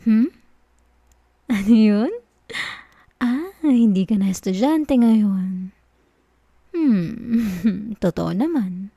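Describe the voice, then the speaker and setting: A woman voicing a flirty, dominant female university professor in an ASMR roleplay, soft-spoken and teasing with frequent chuckles. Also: mid-range voice, lively intonation, extremely slow, long pauses, slightly rough, no echo, clean studio sound, exaggerated voice. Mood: amused, coy. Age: adult.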